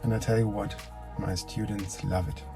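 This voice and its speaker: A man's voice: on the low side, little pitch movement, moderate pace, gravelly, mellow, soft background music. A man with a German accent, a driving instructor, talking casually in a video message about his car.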